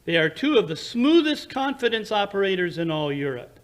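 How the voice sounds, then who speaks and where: Casting: man as brisk, condescending detective, higher-pitched male voice, precise and impatient, old-time radio drama. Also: sing-song. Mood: lecturing, superior. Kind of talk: 1940s radio drama acting.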